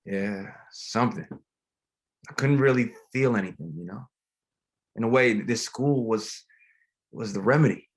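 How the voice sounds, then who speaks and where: Low-voiced, reflective pastor played by a man. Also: husky. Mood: grieving, subdued. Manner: reflective, plain-spoken, halting. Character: grieving, gentle, reflective male pastor, widowed father. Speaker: actor in a table read. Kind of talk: dramatic screenplay table read.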